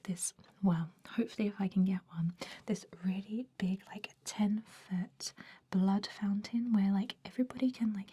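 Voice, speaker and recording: A woman's voice: mid-range voice, dark tone. Woman as a gushing, whispering vampire chatterbox. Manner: breathy, gushing, girlish filler-heavy chatter. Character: gushing, chatty, bride-to-be female vampire bank worker. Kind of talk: whispered ASMR roleplay.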